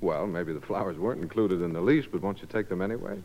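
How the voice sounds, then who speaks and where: Shy stammering young student. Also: male voice, deep voice, fast talker, a little mellow. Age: young adult. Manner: hesitant, stammering, polite. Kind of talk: 1950s radio comedy acting. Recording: muffled old radio broadcast.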